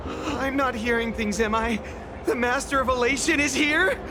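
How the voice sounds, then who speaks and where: A woman voicing a wide-eyed, excitable young bystander in an anime-style game, eager and incredulous. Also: high voice, moderate pace, noisy background, lightly acted.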